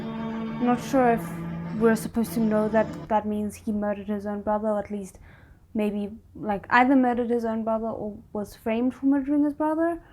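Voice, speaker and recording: A woman's voice: fairly high pitch, rough recording. A woman hosting a reaction video, talking casually and expressively, with hesitant phrasing that trails into asides. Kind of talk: casual reaction commentary to camera.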